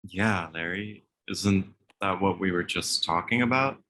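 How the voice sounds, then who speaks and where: A man plays a laid-back forensic technician with a low, forward, bright voice. He talks slowly and dryly in a comedy table read, hesitant and faintly exasperated. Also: exaggerated voice. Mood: casual, dry.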